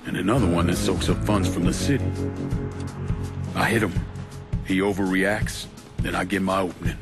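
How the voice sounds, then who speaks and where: An actor playing a cold, determined vigilante at war with mobsters in a video game cutscene, blunt and controlled. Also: male voice, sits low, steady tone, normal speed, music in the background. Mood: calculating.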